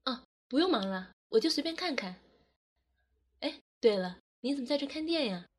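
A woman acting a gentle, wistful young student in an audio drama, speaking softly and reflectively. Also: high voice, animated, moderate pace, roomy sound. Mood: friendly, shy.

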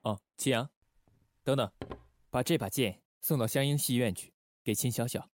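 A man playing a calm, shrewd secret-service station chief with understated authority in a radio drama. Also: medium pitch, moderate pace, lightly acted. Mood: pensive, commanding.

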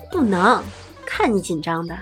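Fiery, bossy lady, swooping pitch. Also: fairly bright tone. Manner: scolding, theatrical. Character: bossy, fiery female friend in ancient China. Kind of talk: period audio drama acting.

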